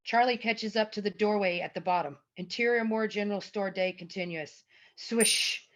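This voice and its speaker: A woman's voice: medium pitch, normal speed, a bit bright. A woman reading stage directions as narrator at a table read, recorded over a lo-fi video call. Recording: dull lo-fi video call audio.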